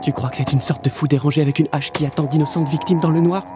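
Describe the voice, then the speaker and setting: A man's voice: on the high side, steady tone, fast talker, music in the background, lightly acted. A man playing an anxious, melancholy teenage boy in a dubbed cartoon, nervous and dramatic. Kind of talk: animated cartoon dub acting.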